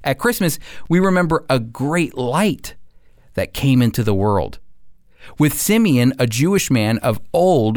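A man's voice: medium pitch, a little mellow, smooth, dry recording, studio quality. A man giving devotional teaching as a program host, with earnest, emphatic phrasing. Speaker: radio show host and Bible teacher. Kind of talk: devotional teaching, reading and explaining.

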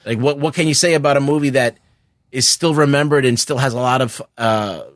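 A man's voice: mid-range voice, fairly quick, no echo, studio quality. A middle-aged man chatting casually about films as a podcast co-host, circling back over his phrases and swearing now and then. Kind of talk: casual opinionated film chat.

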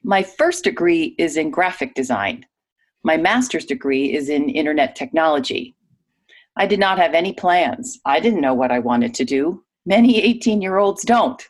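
A woman reading a guest's typed interview answers aloud in a clear, even manner. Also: low voice, moderate pace.

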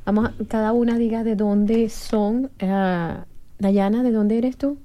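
A woman's voice: a little high, fairly even intonation, measured pace, mellow. A woman leading a casual group interview, asking short questions and laughing along.

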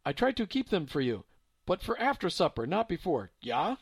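A woman's voice: lowish voice, big pitch swings, moderate pace, slightly rough, no room sound, clean studio sound, voice pushed up, exaggerated voice. A man voicing a frail, confused elderly woman with a German accent, doting and mishearing words. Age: older.

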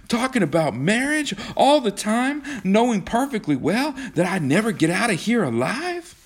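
Man acting a hot-tempered young lover, voice pitched up. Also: big pitch swings, normal speed, slightly rough, full character voice. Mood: accusing. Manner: fierce, accusing, emphatic.

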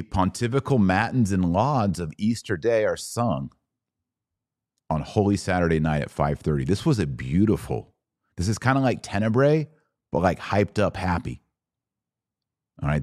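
A man's voice: low voice, darkish tone, dry recording, studio quality. A man hosting a podcast, explaining religious practice in an informal, enthusiastic way and often answering his own questions. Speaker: podcast host.

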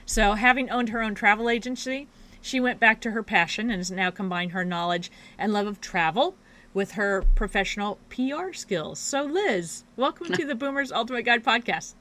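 A woman's voice: fairly high pitch, normal speed, sharp tone. A woman hosting a podcast, introducing her guest and asking questions in an upbeat, encouraging way. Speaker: podcast host. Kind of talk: podcast interview.

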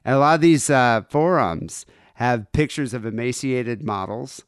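A man's voice: mid-range voice, measured pace, smooth, no room sound, clean studio sound. A man co-hosting a casual comedy podcast, reading items aloud and laughing often. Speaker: podcast co-host.

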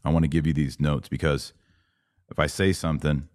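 A man hosting a podcast, giving a religious explanatory monologue in a didactic, emphatic way, pausing and repeating words for stress. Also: extremely low pitch, level tone, darkish tone, no room sound, studio quality. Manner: didactic, emphatic, with deliberate pauses and repetition for stress. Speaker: podcast host.

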